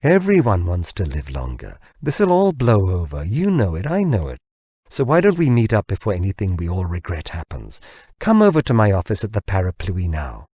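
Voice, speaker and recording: A man voicing a weary, calculating male boss, deliberate, restrained and patronising, in audiobook dialogue. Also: low-pitched, wildly swooping pitch, warm tone, slightly rough, dry recording, studio quality, full character voice. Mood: placating, cool.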